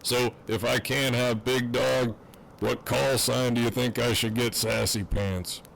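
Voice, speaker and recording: A man's voice: mid-range voice, fairly even intonation, measured pace, creaky, a bit bright, a little hiss or hum, low-quality recording. A man playing a cocky, crude prisoner who teases in wry, swaggering banter in an audio drama. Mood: wry, casual. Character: cocky, crude, teasing imprisoned man on a walkie-talkie. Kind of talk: fan audio drama acting.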